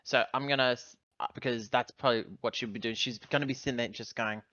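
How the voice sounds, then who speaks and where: A man's voice: mid-range voice, fairly even intonation, fast talker, pushed and bright, no echo. Male tabletop player, hesitant rambling delivery, casual, dry and slightly dull recording. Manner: rambling, hesitant, self-correcting. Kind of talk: casual game talk, describing character actions.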